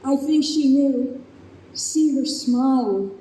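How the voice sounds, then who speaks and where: A woman playing a tender young bride-to-be daughter in an old-time radio play, soft and sentimental. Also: sits high, slow, slight background noise. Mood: tearful tenderness. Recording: echoey hall recording.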